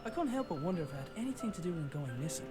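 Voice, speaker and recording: A man voicing a young father in an animated adventure game, narrating his thoughts in a wry, musing way. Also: fairly high pitch, racing, loud music behind the voice. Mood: reflective. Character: Aidan, a young father searching for his missing dad. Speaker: player character in an adventure game.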